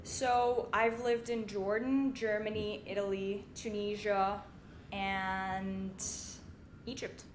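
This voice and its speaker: A young woman from Syria with a medium-pitched voice talks in a casual, chatty interview style. She drops "like" into her sentences often. There is clear noise and rumble behind her. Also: level tone, takes it slowly. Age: 20s. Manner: chatty, informal, frequent "like". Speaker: podcast guest, finance company partner.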